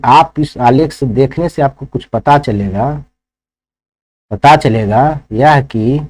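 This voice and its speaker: A man's voice: mid-range voice, a little mellow, poor audio. A man teaching maths step by step, instructing and often checking understanding with rhetorical questions. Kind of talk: classroom lesson, working problems step by step.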